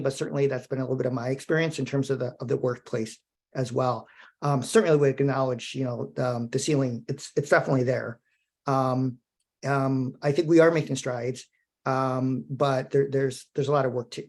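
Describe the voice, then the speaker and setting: A man speaking as a panelist, measured and unhurried, with frequent fillers. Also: medium pitch. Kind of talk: panel discussion.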